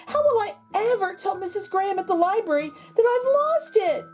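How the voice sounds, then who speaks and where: Woman voicing a high, frantic little girl. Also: very animated, normal speed, a little mellow, slight background noise. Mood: panicked. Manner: anxious, pleading. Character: frantic, tearful little girl who lost her book.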